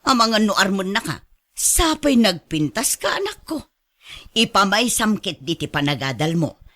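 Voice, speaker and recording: A woman plays a caring Ilocano mother in a radio drama. Her voice is bright and forceful, a touch low for a woman, and she coaxes and admonishes as a middle-aged parent would. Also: very animated, normal speed.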